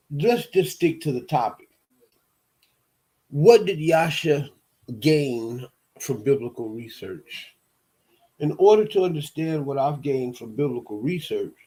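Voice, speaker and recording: A man in his fifties from Detroit, hosting his own show and telling his life story in a slow, halting monologue full of restarts and repeated words. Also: on the high side, lively intonation, mellow. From Detroit, USA. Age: 50s. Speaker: show host. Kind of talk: personal monologue.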